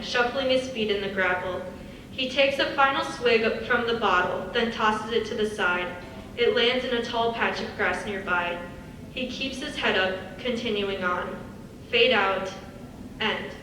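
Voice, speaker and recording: A woman's voice: a little high, a bit bright. A woman reads stage directions as the narrator of a live dramatic script reading. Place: large echoey indoor hall on stage. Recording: very echoey hall, distant mic, faint noise.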